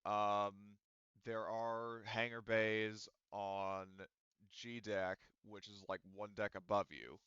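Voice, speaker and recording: Rambling male game master, smooth dry voice. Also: lowish voice, fairly even intonation, takes it slowly, a bit bright, no room sound, dull audio. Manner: rambling, thinking aloud with many "um" and "like". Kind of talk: explaining rules and setting in a tabletop game.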